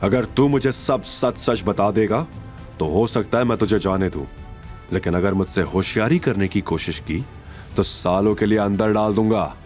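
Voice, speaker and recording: A man's voice: fairly low pitch, normal speed, darkish tone, faint music behind, close to an everyday voice. A man playing a stern, threatening police officer of about forty in an audio drama, commanding and mocking. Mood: cold threat. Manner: commanding, intimidating, informal address. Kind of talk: audio drama character acting.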